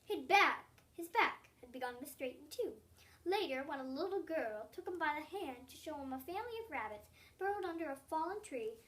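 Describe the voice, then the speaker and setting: A child of about eight reads a storybook aloud, giving an expressive reading with emphatic, stretched words. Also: high voice, normal speed. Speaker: young reader of a story. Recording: distant from the microphone.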